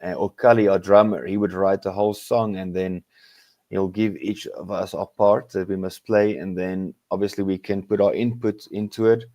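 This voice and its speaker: A South African man whose first language is Afrikaans, a rock musician guest talking in a halting way with many pauses and restarts. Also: sits low, steady tone, darkish tone, no room sound. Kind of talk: casual interview chat.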